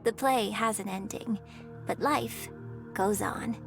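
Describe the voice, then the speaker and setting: An actress playing a graceful young opera singer in a game, speaking with refined, gentle confidence. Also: female voice, medium pitch, slow pace, gravelly, soft background music. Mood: warm. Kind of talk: game voice acting.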